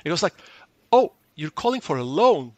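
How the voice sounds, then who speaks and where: A man's voice: on the high side, big pitch swings, moderate pace, no echo, highs cut off. A man whose first language is Dutch, explaining a product in an interview and often repeating words as he goes. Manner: fluent but repeats words and restarts, acts out short quoted exchanges. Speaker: tech company guest.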